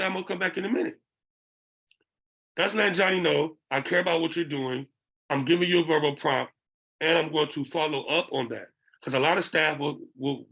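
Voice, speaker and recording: A middle-aged man with a raspy voice, a behavioral interventionist lecturing educators and often checking in with "okay?". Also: on the high side, fairly bright tone, low-quality recording. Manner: checks in with listeners by asking "okay?".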